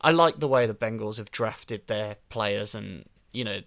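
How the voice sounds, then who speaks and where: A man's voice: fairly low pitch, a little slow, vocal fry, fairly bright tone, dry recording. Chatty man, dry sound. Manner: chatty, opinionated, laughs easily. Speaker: podcast guest. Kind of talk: casual sports podcast chat.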